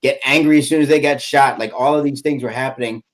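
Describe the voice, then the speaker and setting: A man in his forties talks casually over a video call, his voice sitting at an ordinary middle pitch. He rambles, stopping and restarting often and leaning on lots of fillers as he explains his plans. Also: steady tone, a little hiss or hum. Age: 40s. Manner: rambling, halting with many fillers. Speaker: airsoft field owner. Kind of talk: casual podcast chat.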